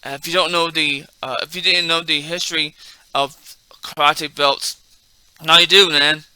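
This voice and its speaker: A man hosting a martial arts video in a casual, halting way, repeating and stumbling over words, with a slight speech impairment. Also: a little high, steady tone, bright, forceful tone, clean tone, no room sound, poor audio. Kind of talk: casual talk mixed with halting reading aloud from a text.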